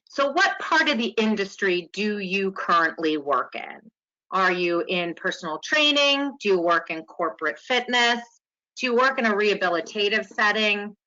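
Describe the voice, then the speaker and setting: A woman's voice: mid-range voice, lively intonation, normal speed, pushed and bright. A woman from New York presenting a webinar lecture in a chatty, welcoming way over an online call. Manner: chatty and welcoming, with small laughs. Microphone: online call audio. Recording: dull lo-fi web call audio.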